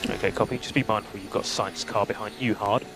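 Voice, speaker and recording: Over a very noisy team radio with a loud vehicle hum comes a low-pitched man. He is a race engineer, reporting gaps and settings in terse, clipped, procedural phrases. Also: steady tone, quick pace, vocal fry. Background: loud hum and vehicle noise.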